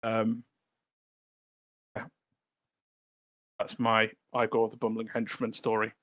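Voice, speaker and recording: A man co-hosting a casual writing podcast, telling rambling anecdotes with many pauses and fillers. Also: lowish voice, completely flat delivery, a bit bright, no room sound. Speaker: podcast co-host.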